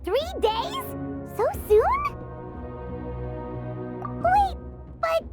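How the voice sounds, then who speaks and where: A woman voicing a small, childlike fairy companion in a video game, excitable, earnest and loyal, with a squeaky voice. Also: very slow, heavily acted. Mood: worried. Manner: speaks of herself in the third person, exclamatory. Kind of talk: video game character acting. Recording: game music behind the voice.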